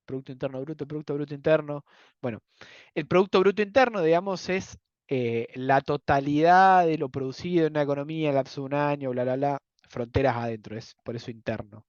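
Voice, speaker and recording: A young man with an Argentine accent lectures patiently, padding his points with fillers and asking if it's understood. His voice sits a bit high. The recording is dull and dry, like a close computer mic. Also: a little fast, a little mellow, clean tone, no echo. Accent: Argentine Spanish. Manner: explanatory, many fillers, checks understanding. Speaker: economics lecturer. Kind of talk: university lecture.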